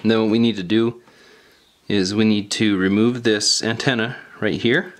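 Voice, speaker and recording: A man with a fairly low voice walks through a hands-on repair tutorial. He is informal and plain-spoken, explaining each step casually and often repeating his phrasing. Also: steady tone, normal speed.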